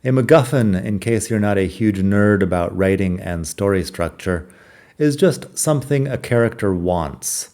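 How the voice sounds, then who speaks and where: A man's voice: fairly low pitch, lively intonation, moderate pace, a little mellow. A middle-aged American man hosting a podcast, reading his own scripted personal essay in a wry, self-deprecating, reflective way. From United States. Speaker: podcast host.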